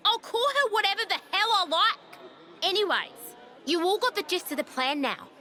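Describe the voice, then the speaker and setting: A woman's voice: very high voice, big pitch swings, normal speed, sharp tone, a little hiss or hum, full character voice. A woman playing a brash, cocky young rebel leader in a video game, blunt, slangy and mocking. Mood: irritated, curt. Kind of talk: video game cutscene voice acting.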